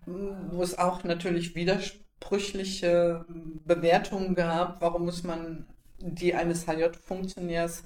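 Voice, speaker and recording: A woman's voice: on the low side, little pitch movement, slow pace, darkish tone. A woman interviewing a volunteer, posing long, winding questions. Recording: echoey room.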